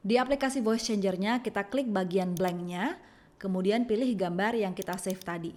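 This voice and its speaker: A woman presenting a scripted software tutorial in an instructional, step-by-step manner. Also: mid-range voice, moderate pace.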